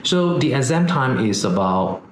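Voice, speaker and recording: A man's voice: mid-range voice, very animated, measured pace, roomy sound, slight background noise. A middle-aged man with a Malaysian English accent, frankly explaining certification details in a live webinar talk, with frequent "uh" fillers. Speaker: company CEO presenting training.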